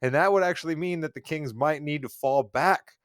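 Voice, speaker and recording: A man's voice: a little high, a little fast, no room sound, clean studio sound. A man co-hosting a casual sports podcast, rambling with jokey, self-deprecating asides. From Northwest Indiana. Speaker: podcast co-host.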